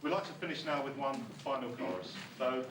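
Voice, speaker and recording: A man's voice: medium pitch, animated, fairly quick, distant mic. A man giving an informal closing speech to an audience as master of ceremonies at an amateur show. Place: school hall stage. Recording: noisy amateur tape, echoey hall from afar. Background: loud noise and room ambience.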